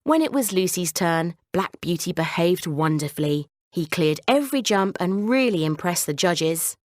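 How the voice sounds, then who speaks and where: A woman narrating a scripted children's bedtime story in a measured, clearly phrased way. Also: fairly low pitch, lively intonation, dry recording, clean studio sound.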